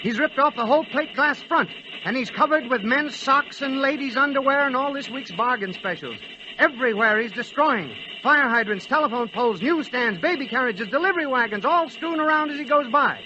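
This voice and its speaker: A man's voice: very high voice, animated, a little fast. A man playing an urgent, dramatic narrator, giving breathless play-by-play in 1940s radio drama style. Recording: dull, noisy vintage radio recording.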